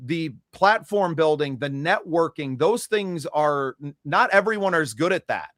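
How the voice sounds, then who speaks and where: A man's voice: a little high, expressive, unhurried, fairly bright tone, no echo, studio quality. A man from the United States hosting a podcast, talking in an earnest and reflective way during a casual interview. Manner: earnest, reflective, with some restarts. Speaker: podcast host.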